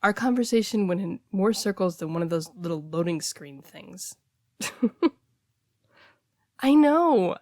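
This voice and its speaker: A woman playing a casual, blunt heartbreaker friend in an intimate audio roleplay, talking conversationally with hesitant, expressive delivery. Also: fairly low pitch, measured pace, long pauses, no echo, studio quality. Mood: deflated, wry. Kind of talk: intimate one-sided audio roleplay, naturalistic dialogue.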